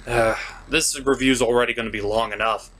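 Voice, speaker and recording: A man's voice: medium pitch, fairly even intonation, fairly quick, a bit bright, rough recording. A man narrating a scripted review in a sarcastic, mocking, sometimes profane manner. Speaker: cartoon reviewer, host.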